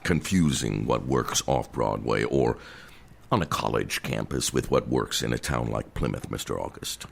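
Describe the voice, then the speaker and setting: An actor playing a stuffy, dismissive older theatre owner with a formal, condescending manner in an audio drama. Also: male voice, a bass voice, moderate pace, vocal fry, full character voice.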